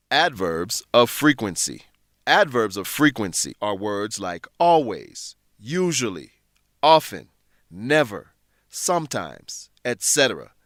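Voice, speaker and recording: Animated male English lesson narrator. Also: medium pitch, no echo, studio quality. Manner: deliberate, instructive. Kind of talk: scripted educational narration.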